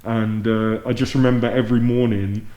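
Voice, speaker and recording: A man's voice: lowish voice, little pitch movement, moderate pace, warm tone, dull audio, a little hiss or hum. A man from Luton with a southern English accent, chatting informally as a podcast host. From Luton, England. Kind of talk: casual conversational interview. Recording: roomy, echoey indoor sound.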